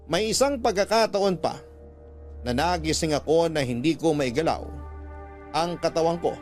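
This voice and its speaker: A man's voice: on the high side, animated, moderate pace, a little mellow, soft background music, close to an everyday voice. An actor playing a troubled young man who recounts his story reflectively, as a letter in a Filipino radio drama. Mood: uneasy. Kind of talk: first-person dramatic narration of a radio letter.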